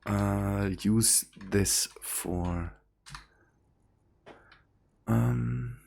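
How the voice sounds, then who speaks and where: A man's voice: lowish voice, expressive, drawn-out words, creaky, darkish tone, slight background noise. A man hosting a casual game stream, laughing often and restarting his sentences. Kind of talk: casual gaming chat.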